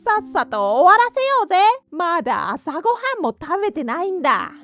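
A woman's voice: high-pitched, big pitch swings, a little fast, a bit bright, soft background music, voice pushed up, heavily acted. A woman voicing a cocky, mocking male hare in a children's fairy tale, scoffing and boastful. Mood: arrogant, impatient.

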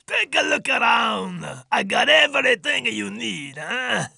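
Gravelly, shouty alien junk dealer. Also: male voice, very high-pitched, animated, a little slow, no room sound, studio quality, full character voice. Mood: hawking. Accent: put-on foreign accent with broken English. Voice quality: gravelly, raspy. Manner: haggling, blustering, ends lines with "huh?". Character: greedy, grumpy winged alien junk-shop dealer. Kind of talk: video game character voice clips.

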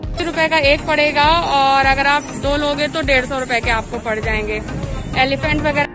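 High-pitched young woman vlogging. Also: fairly even intonation, moderate pace. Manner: explanatory, addressing viewers directly. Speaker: market vlogger. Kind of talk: casual shopping tour commentary. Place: outdoor street market. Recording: music over outdoor street recording. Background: music, traffic.

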